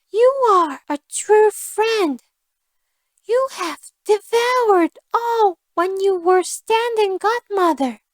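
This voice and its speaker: A woman's voice: very high-pitched, no room sound, studio quality, pitched above the performer's own voice, exaggerated voice. A woman voicing a small, naive female mouse in a storybook, thin-voiced, earnest and exclamatory. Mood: distressed, accusing.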